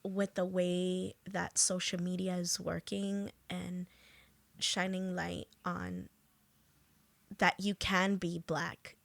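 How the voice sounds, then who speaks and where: A woman hosting a podcast, talking casually in a slow, halting way with lots of fillers. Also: lowish voice, flat delivery, gravelly, a bit bright, dry recording. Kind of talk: casual conversation.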